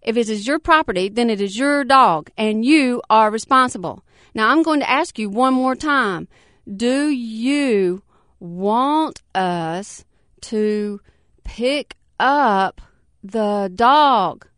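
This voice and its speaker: A woman's voice: a little high, no room sound, studio quality, voice pushed up, natural voice. Woman doing a pushy animal control officer. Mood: stern, pushy. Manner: stern, pushy, rapid-fire.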